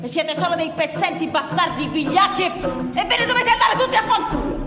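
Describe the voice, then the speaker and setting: A woman's voice: very high-pitched, lively intonation, brisk. A woman acting on stage as a brash, furious young anarchist bandit, declaiming with fiery theatrical force. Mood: furious, defiant. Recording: echoing theatre stage with live music.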